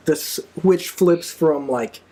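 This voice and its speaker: A man's voice: a little high, slow pace, dark tone. A man hosting a casual panel chat, giving wrestling predictions. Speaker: host of a wrestling predictions show.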